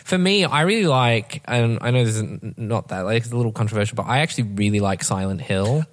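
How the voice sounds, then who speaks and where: A man's voice: mid-range voice, animated, fast. A man hosting a casual film discussion, giving opinions in a slangy, conversational way. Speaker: podcast host.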